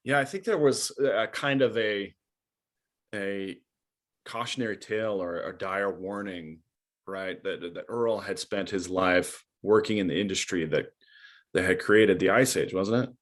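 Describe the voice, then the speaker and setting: A man's voice: lowish voice, a little slow. A young man hosting an interview, asking prepared questions in a friendly way. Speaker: interviewer, host.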